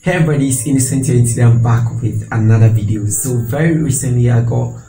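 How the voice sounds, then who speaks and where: A man's voice: medium pitch, quick pace, soft background music. Young Ghanaian man in an echoey room. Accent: Ghanaian English. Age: young adult. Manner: earnest, storytelling with hand gestures. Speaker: freelance designer and video creator. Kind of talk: casual talking-head video. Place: small home room with shelves. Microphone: clip-on lapel mic.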